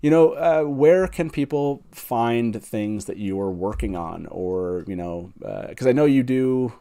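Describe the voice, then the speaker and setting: A man with a mid-pitched voice hosts a casual live online panel. He talks informally, in a self-deprecating way, like a host chatting with guests on a stream. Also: expressive, unhurried, vocal fry, dark tone. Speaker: host of a fan show. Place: online group video call, live stream.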